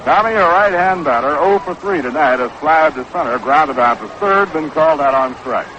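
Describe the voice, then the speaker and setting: A man calling baseball play-by-play on 1960s radio, measured and descriptive, on an old broadcast recording. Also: a little high, animated, bright, forceful tone. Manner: measured, descriptive calls that quicken on action. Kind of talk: 1960s live radio sports commentary. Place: outdoor ballpark broadcast position. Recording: noisy, dull vintage 1960s radio broadcast.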